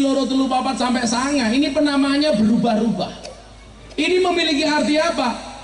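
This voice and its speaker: Middle-aged man, teasing, jokey preacher; higher voice, roomy, distant pickup. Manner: joking, teasing the audience, mixing regional dialect. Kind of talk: humorous sermon to a crowd. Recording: roomy, from far off, crowd and noise behind.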